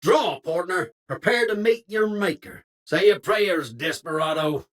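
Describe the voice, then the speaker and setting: An actor voicing a folksy, old-time cowboy security robot for a video game, with an American Western drawl and colorful frontier slang. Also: male voice, high voice, a little slow, fairly bright tone. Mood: menacing. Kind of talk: video game character voice lines.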